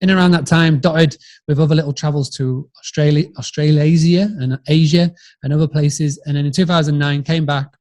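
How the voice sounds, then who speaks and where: A man's voice: on the high side, steady tone, a little fast, darkish tone. A middle-aged man from Yorkshire with a northern English accent, talking in a chatty, rambling way as an interview guest. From Yorkshire, England. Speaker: podcast guest, business founder. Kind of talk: conversational storytelling in an interview.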